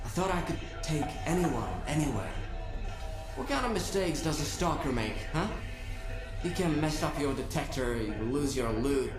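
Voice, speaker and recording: A man's voice: on the high side, lively intonation, normal speed, lightly acted. Man playing wry, jaded drifter in echoey room. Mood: reflective, rueful. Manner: sardonic, folksy, talkative. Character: jaded middle-aged drifter, former guide, wry and rambling. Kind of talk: video game character dialogue. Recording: roomy echo, music behind.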